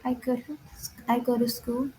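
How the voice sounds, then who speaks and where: Shy Vietnamese student, soft short answers. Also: female voice, on the high side, level tone, moderate pace, slight background noise, low-quality recording.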